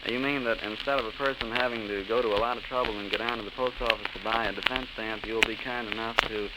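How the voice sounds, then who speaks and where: An American man hosting a 1930s radio interview, polite and halting in his delivery, on an old recording. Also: on the low side, fairly even intonation, very noisy.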